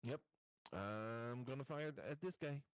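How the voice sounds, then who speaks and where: Slow, smooth-voiced man playing a tabletop game. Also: medium pitch. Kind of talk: casual game table chat.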